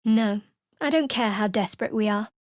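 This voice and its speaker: A woman's voice: on the high side, moderate pace, a little mellow, no echo, close to an everyday voice. A woman voicing a guarded, sardonic young cleric adventurer in a video game, speaking with dry, cutting wit and cool reserve. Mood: wry.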